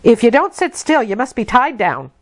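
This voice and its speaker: Woman as a firm, admonishing nursemaid, pitched up. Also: big pitch swings, normal speed, no room sound, studio quality. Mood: commanding.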